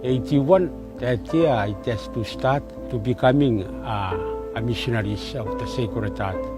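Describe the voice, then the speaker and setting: A man's voice: medium pitch, a little slow. A man from Kiribati with a Kiribati accent, a religious brother telling his own story in non-fluent English, piecing it together word by word. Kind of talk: personal testimony. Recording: music bed behind the voice.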